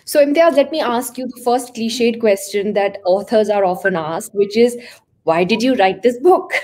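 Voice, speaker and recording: A woman's voice: a little high, normal speed, a little mellow. Woman journalist interviewing on video call. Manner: fluent, emphatic, repeats words when starting. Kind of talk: warm interview conversation. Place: room at home with curtains behind. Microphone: laptop mic on a video call.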